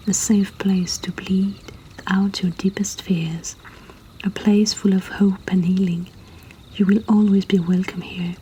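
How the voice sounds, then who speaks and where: A woman reading poetry and stories in a breathy whisper, in a soothing, hushed ASMR style. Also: mid-range voice, a little hiss or hum, poor audio. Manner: slow, soothing, hushed delivery. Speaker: poetry reader and host.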